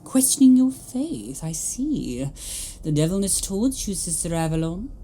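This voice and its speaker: A woman acts a domineering alien sister who rules with pious severity. Her voice sits low and speaks with imperious, sanctimonious command. It is sci-fi audio drama, with clear music behind her. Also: big pitch swings, moderate pace, warm tone. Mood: menacing, urgent. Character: stern, pious, domineering alien nun in charge of hospital.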